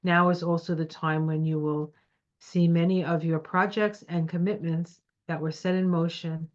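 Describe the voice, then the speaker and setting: A woman with a slightly low voice and a stuffy nose talks through a tarot reading in an encouraging, reassuring way. She sits at her computer at home, and its microphone gives a dull, lo-fi sound. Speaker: tarot reader. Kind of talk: casual tarot reading to camera.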